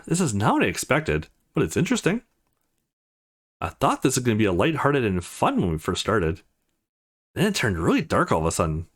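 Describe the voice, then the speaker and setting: Man reading aloud with very animated pitch. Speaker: let's play host. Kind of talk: reading visual novel dialogue aloud.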